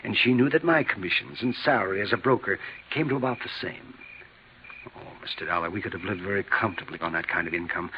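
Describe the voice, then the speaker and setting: An American actor playing a guilt-ridden, weak insurance broker who confesses haltingly in a 1950s radio drama. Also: male voice, on the low side. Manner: halting, repeating himself, anguished. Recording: dull, noisy old radio recording.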